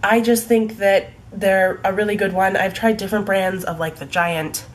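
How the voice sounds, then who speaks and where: A woman's voice: medium pitch, steady tone, normal speed. A young woman with a stuffy, congested voice from a cold, chatting casually about her favorite products, full of likes and ums. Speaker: beauty vlogger. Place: home room in fading daylight.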